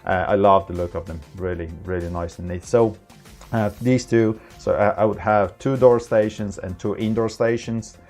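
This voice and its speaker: Low-voiced man giving an informal tech tutorial. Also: fairly even intonation, a little mellow, faint music behind. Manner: halting, unscripted, non-native phrasing. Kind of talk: informal product tutorial, explaining to camera.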